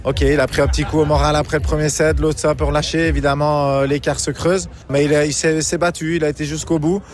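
Capitaine belge en interview: monotone, voix haute, hésitant. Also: male voice, fairly high pitch, fast. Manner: reflective, many fillers. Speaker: tennis team captain. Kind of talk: post-match interview.

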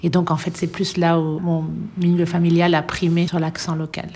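A middle-aged woman violinist being interviewed, speaking thoughtfully with many pauses and light laughs. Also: on the low side, flat delivery, darkish tone. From France. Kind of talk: relaxed radio interview.

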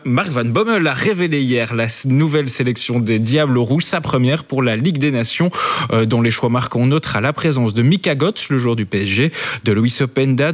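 A male sports news presenter reads through the results on radio at a middling pitch. His delivery is steady but dotted with filler pauses.

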